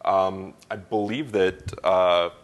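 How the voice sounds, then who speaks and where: A man on a conference panel adding points in a measured, slightly hesitant way, heard from away from the microphone. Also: deep voice, fairly even intonation.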